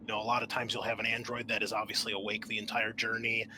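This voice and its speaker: A man acting as game master, explaining a tabletop roleplaying game in a halting way. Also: fairly low pitch, monotone, noisy background.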